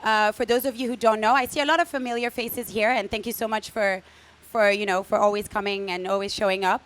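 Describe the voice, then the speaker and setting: A woman's voice: medium pitch, steady tone, fast talker. Hesitant woman hosting a live event. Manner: hesitant, repeats words, warm welcoming tone. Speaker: host. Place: event space with live audience.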